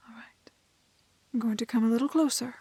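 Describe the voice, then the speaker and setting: A woman playing a prim, plucky, kind-hearted young woman in a fairy-tale roleplay, with soft voice and proper, old-fashioned phrasing. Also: fairly high pitch, steady tone, brisk, husky, no echo. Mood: gentle, careful. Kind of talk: romantic fantasy roleplay audio acting.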